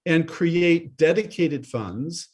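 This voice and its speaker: A man speaking as a panelist on a video call, giving a fluent business pitch with some repeated starts. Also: expressive, slow, darkish tone, husky. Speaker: venture capital partner, panelist.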